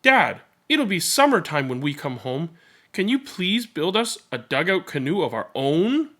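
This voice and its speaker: Storybook six-year-old boy, acted by a man, higher-pitched, earnest, pleading, lively intonation. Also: unhurried, exaggerated voice. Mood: hopeful request.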